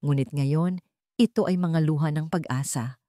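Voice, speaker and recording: A woman storyteller reading a scripted dramatic story in an earnest, measured way. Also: low voice, mellow, no echo, clean studio sound.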